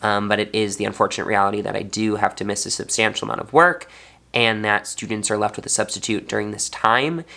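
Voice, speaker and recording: A man's voice: lowish voice, fairly even intonation, quick pace, vocal fry, pushed and bright. A young man, a fourth grade teacher, talking earnestly in a personal vlog, sounding congested. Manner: earnest, heartfelt.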